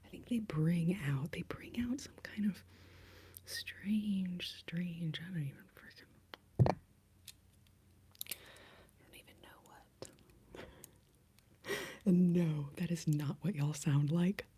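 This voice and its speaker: An American woman in her late thirties whispering in a breathy voice, chatting casually and playfully as an ASMR live streamer. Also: fairly low pitch, fairly even intonation, slow, long pauses, warm tone, slight background noise. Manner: playful, self-deprecating. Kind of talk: whispered ASMR, casual rambling chat with viewers.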